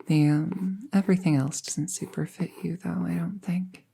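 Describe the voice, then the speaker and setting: Woman acting as a friendly young apprentice witch, unhurried, creaky, hesitant and musing, intimate roleplay audio, some background noise. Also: fairly low pitch. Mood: fond, unsure. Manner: soft-spoken, hesitant, musing, often trailing into near-whisper.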